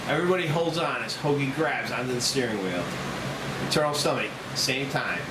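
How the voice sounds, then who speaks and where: A man's voice: mid-range voice, expressive, brisk. A man reading stage directions as narrator at a screenplay table read. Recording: echoey room, loud air-conditioning-like noise.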